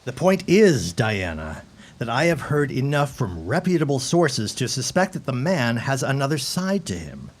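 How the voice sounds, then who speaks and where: An actor playing an earnest, frustrated gentleman neighbor in a period audio drama, speaking formally and emphatically in a husky voice. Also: mid-range voice, sing-song, normal speed. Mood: urgent, warning.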